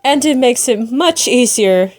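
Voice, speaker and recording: A woman with a fairly high voice records amateur voice-acting lines. She takes her time, moving between scripted teacherly delivery and casual muttered asides. Faint music plays behind her. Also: expressive, measured pace. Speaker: amateur voice actor. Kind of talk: fan fiction voice-acting outtakes.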